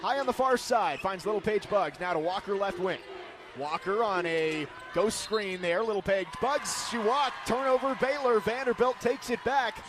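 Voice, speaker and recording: A man calling live sports play-by-play in punchy, crisp announcer phrasing. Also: sits high, normal speed, background noise.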